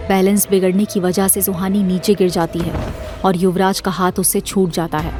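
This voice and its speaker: A woman narrating a scripted horror story in a measured, dramatic storytelling manner. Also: medium pitch, flat delivery, music in the background.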